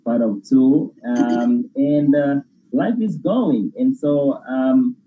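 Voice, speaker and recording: A man's voice: medium pitch, slow. A man from Angola whose first language is Portuguese, speaking with an Angolan Portuguese accent as an effusive, grateful interview guest who laughs while he talks. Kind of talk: casual interview chat. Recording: tinny phone line with faint noise.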